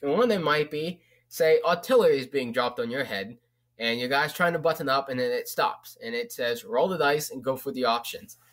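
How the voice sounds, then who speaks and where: A man's voice: mid-range voice, lively intonation, a little fast, a bit bright. Rambling young guy. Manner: rambling, casual, swears freely, frequent fillers. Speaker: vlogger. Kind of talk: casual book recommendation.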